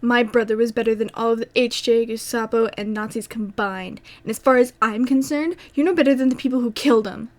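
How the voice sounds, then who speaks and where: Woman acting hurt but defiant young girl in an audio drama, highish voice, earnest and emotional. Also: fairly quick, hardly any pauses, darkish tone, lightly acted. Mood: defiant, angry.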